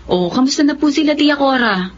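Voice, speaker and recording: Dutiful young twin daughter, gentle, fairly high voice, muffled radio drama recording. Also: female voice, expressive, fairly quick. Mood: concerned. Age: young adult. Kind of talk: Tagalog radio drama acting. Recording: dull lo-fi radio, faint noise.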